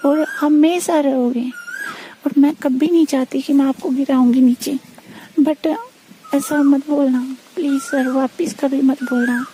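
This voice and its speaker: A woman's voice: sits high, fairly even intonation, unhurried, warm tone, a little hiss or hum. A young woman on a private phone call, speaking earnestly and politely but with insistent reproach. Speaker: student.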